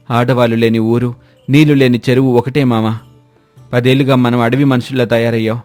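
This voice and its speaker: A man's voice: medium pitch, darkish tone, dry recording, lightly acted. A man playing a despondent, bitter village bachelor past marrying age in a dramatised moral story.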